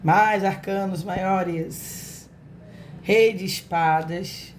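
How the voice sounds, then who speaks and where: A Brazilian woman with a hoarse voice, giving a casual tarot reading and talking affectionately and directly to her viewers. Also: medium pitch, fairly even intonation, extremely slow, slight background noise. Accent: Brazilian Portuguese. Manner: affectionate, chatty, talks straight to viewers. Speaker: tarot reader, channel host. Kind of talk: casual spiritual reading to camera.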